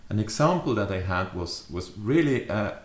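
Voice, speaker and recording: Low-voiced middle-aged man, echoey room. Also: animated, normal speed. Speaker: leadership adviser. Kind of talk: reflective explainer talk to camera. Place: small furnished study or living room, indoors.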